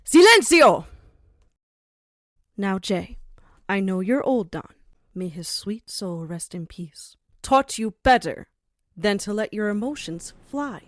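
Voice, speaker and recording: Actress as crime-world madam, mid-pitched, formal and authoritative, close dry sound. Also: female voice, lively intonation, slow pace, long pauses, dry recording. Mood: stern, commanding. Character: commanding, courteous matriarch of the criminal underworld. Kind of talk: audio drama acting.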